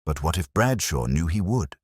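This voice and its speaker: A man voicing a cool, brooding detective in an audiobook, musing in measured phrases. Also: deep voice, sing-song, a little mellow, slightly rough, no echo, studio quality, heavily acted. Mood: deducing. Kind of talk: audiobook detective story dialogue.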